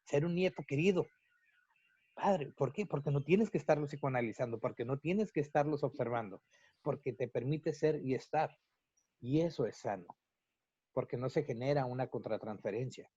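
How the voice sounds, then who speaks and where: A Mexican man, a psychologist, explaining his views at length in an interview, in a measured, lecturing way. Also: mid-range voice, warm tone, slightly rough, dry recording. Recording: dull, lo-fi, dry sound.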